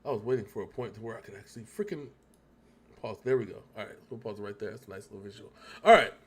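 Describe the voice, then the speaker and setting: A man's voice: on the low side, fast talker, background noise. A man hosting a casual video reaction, speaking in an emphatic, rambling way as he thinks aloud. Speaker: reaction show host.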